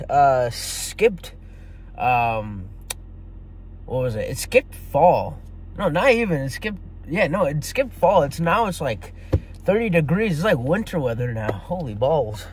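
A man's voice: a little high, big pitch swings, takes it slowly, long pauses, darkish tone, a little hiss or hum. A young man vlogging casually about his workout, rambling and laid-back with lots of fillers and restarts. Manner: rambling, filler-heavy, self-correcting. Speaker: fitness vlogger. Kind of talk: casual vlog talk to camera.

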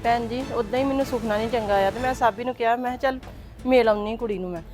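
A woman's voice: on the high side, very fast, music in the background, lightly acted. A woman playing a pleading, earnest mother. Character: pleading middle-aged mother visiting her married daughter. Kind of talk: homemade family drama skit.

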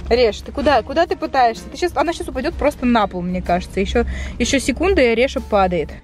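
A young woman talks to her viewers from inside a parked car at night, recorded on a handheld camera. Her voice sits a little high, and she chats fast and lively, cooing at her cat between remarks. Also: faint music behind. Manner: lively, chatty, coos at the cat. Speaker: pet vlogger. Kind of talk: casual vlog talk to viewers.

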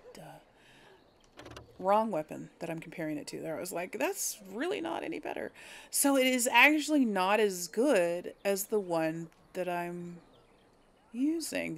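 Female gaming host, unhurried delivery, mid-range voice, hesitant and musing, casual commentary. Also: mid-range voice. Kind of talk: casual game commentary, thinking aloud.

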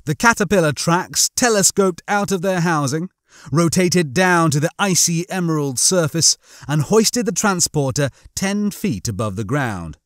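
A man's voice: a little high, expressive, measured pace, fairly bright tone, no room sound, studio quality. A man narrating a comic science-fiction audiobook from a script.

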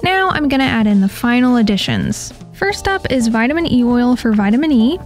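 A woman's voice: fairly high pitch, sing-song, brisk. A woman giving a scripted how-to voice-over as the host of a pet vlog. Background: background music.

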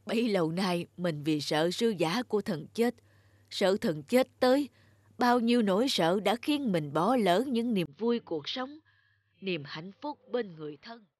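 A woman's voice: medium pitch, expressive, measured pace, darkish tone, no room sound. A woman voicing a frail, anxious old grandfather in a folk-tale cartoon, with hesitant, fearful delivery. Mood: grateful, reflective.

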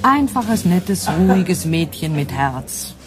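A woman's voice: lowish voice, very animated, takes it slowly, music in the background. A woman playing a blunt, motherly, practical canteen waitress who chides her regulars in a radio drama. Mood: motherly chiding.

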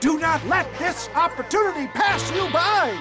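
Old frontier charlatan for a western game, very high, florid and theatrical, music underneath. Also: male voice, expressive, moderate pace. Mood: triumphant. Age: older. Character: grandiose old snake-oil salesman, showman charlatan. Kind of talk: video game western acting.

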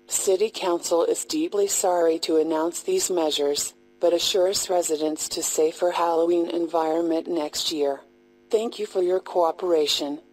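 A woman reading a scripted emergency announcement in a measured, impersonal, formal way. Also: lowish voice, steady tone, darkish tone, rough recording.

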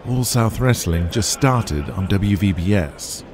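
A man's voice: lowish voice, lively intonation, slow, a little mellow, slight background noise. An actor playing a businesslike wrestling executive briefing his boss in a matter-of-fact way. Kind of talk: audio drama acting.

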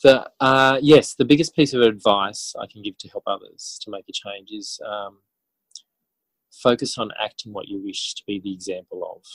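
A man answering as an interview guest in a casual, halting way, thinking aloud as he goes. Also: on the low side, breathy edge, no echo, rough recording. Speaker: interview guest, author and educator.

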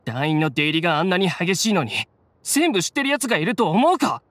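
An actor playing a defiant, rough-talking low-ranking bandit in a video game. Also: male voice, sing-song, fairly quick, a bit bright, no echo. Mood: defensive. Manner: rough, coarse, blustering speech. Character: defiant low-ranking bandit gang grunt, adult man. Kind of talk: Japanese game voice acting.

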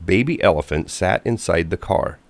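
A man narrating a children's storybook from a script in an expressive, clearly paced manner. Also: deep voice, moderate pace, dry recording.

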